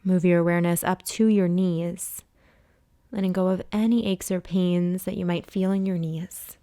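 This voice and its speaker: A woman leading a guided body scan meditation as a teacher, speaking in a soothing, hushed way with long pauses. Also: fairly low pitch, fairly even intonation, dry recording, clean studio sound.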